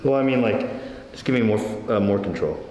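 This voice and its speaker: A man speaks as a chiropractor with a fairly low, very varied voice. He gives casual, short directions and reassures as he goes. The indoor room is noticeably echoey. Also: expressive, brisk, dark tone, muffled recording. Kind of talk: casual hands-on patient instruction. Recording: echoey room with faint background noise.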